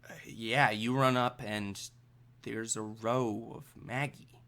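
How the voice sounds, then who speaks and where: A man improvising narration as a tabletop game master, halting and suspenseful, with trailing pauses. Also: fairly low pitch, steady tone, sharp tone.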